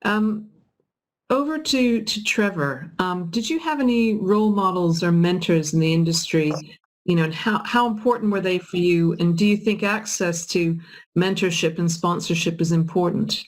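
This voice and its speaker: A woman's voice: fairly low pitch, normal speed. A woman moderating a panel discussion, upbeat, thanking speakers and passing the floor with questions. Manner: upbeat, brief thanks and handovers.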